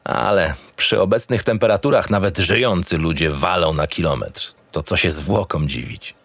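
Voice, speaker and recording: Low-voiced, gruff, cynical detective. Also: male voice, moderate pace, a bit bright, no room sound, studio quality. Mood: grim narration. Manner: curt, swearing, impatient. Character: gruff, cynical, experienced male homicide detective. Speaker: actor playing the lead detective. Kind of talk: audio drama acting with noir narration.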